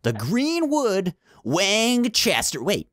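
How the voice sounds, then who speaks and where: A young man playfully hosting a group gameplay roleplay, excitable, bossy and theatrical. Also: high voice, slow pace, no room sound, studio quality. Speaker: gaming video host, leading a group roleplay.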